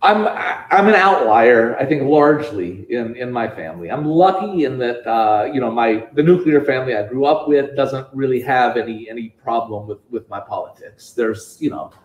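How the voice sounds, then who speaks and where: A man giving a public talk at a bookstore event, speaking haltingly with frequent restarts and "you know"s. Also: mid-range voice, animated, lo-fi sound. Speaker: guest speaker. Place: bookstore event space with audience. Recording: echoey room.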